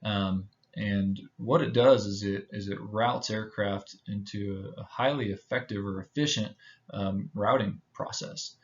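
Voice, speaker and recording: An American man from Houston giving an informal slide presentation, speaking hesitantly with many filled pauses. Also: fairly low pitch, fairly even intonation, lo-fi sound. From Houston, United States. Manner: halting, hesitant delivery with frequent filled pauses. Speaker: presenter, pilot.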